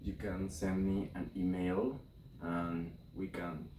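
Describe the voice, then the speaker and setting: A man from Colombia, a native Spanish speaker, talking casually to his viewers in halting English with a Colombian accent from his bedroom. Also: deep voice, little pitch movement, warm tone, roomy sound, far from the mic, a little hiss or hum. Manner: halting, informal English with slips. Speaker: video creator. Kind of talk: casual talk to camera. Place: bedroom, indoors.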